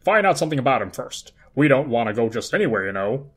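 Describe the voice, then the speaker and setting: A performer plays a fretful storybook vacuum cleaner, conservative and cautious. The voice is husky and sits fairly low, urging and warning with expressive movement. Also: fast talker. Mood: cautioning. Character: nervous, cautious old-fashioned vacuum cleaner, set in its ways.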